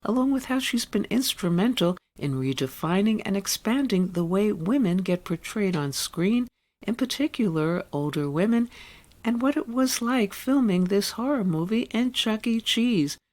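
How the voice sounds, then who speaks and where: A woman presents in a mid-pitched voice. She reads scripted radio narration deliberately, phrase by phrase, in a measured manner. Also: lively intonation, darkish tone.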